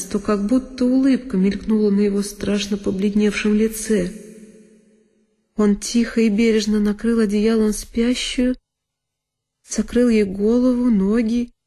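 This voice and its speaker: Woman as mellow-voiced frightened girl narrator. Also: mid-range voice, lightly acted. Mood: fearful. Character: frightened young girl recalling a dreadful night. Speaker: solo performer of an audio drama. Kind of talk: dramatic first-person literary narration. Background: music behind the voice.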